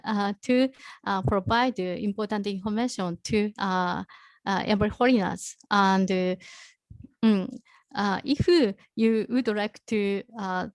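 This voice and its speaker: A woman from Japan with a Japanese accent answering questions as a presenter at an online academic session, haltingly searching for words. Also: mid-range voice, no room sound. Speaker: presenter, researcher.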